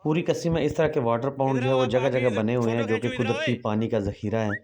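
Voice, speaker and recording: A man narrating a vlog in a calm, explanatory voice-over, reminiscing as he goes. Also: medium pitch, fast, crowd noise.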